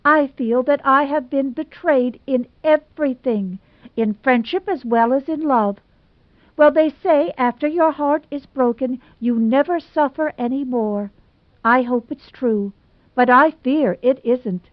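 Woman reader doing a high, theatrical heartbroken young woman, tearful and gushing, dry muffled sound. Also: measured pace, dry recording. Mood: melodramatic. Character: melodramatic lovelorn young woman, self-pitying.

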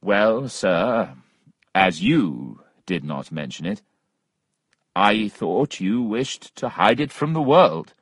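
A deferential storybook lawyer, voiced by a male narrator. He sounds unruffled and polite, with lively intonation and a very dry recorded sound. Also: on the low side, slow pace, husky, no room sound, exaggerated voice. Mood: matter-of-fact.

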